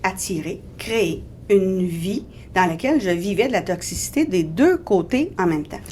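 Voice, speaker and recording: A woman's voice: medium pitch, animated, moderate pace, a little mellow, a little hiss or hum. A woman in her forties with a Québécois French accent, a guest in a radio interview, explaining her experiences in an earnest, reflective way. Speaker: guest author.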